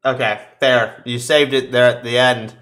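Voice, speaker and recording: A man's voice: mid-range voice, flat delivery, moderate pace, a bit bright. A man hosting a podcast, chatting casually about video games in slangy, sweary bro talk. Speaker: podcast host.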